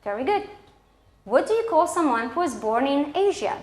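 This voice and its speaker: A woman's voice: sits high, sing-song, moderate pace, a little mellow. A woman with a Romanian accent presenting a scripted English lesson for schoolchildren, enunciating each example in a didactic way. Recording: echoey, roomy sound.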